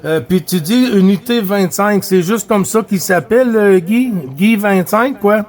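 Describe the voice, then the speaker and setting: A man's voice: high-pitched, measured pace, a little mellow. A man with a Quebec French accent chatting casually as a CB radio operator, friendly and repeating phrases and radio codes. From Quebec, Canada.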